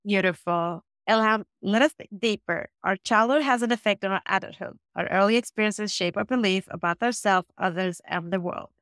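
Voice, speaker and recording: A woman host reading short, set interview questions in a measured way. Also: mid-range voice, a bit bright, dry recording, studio quality.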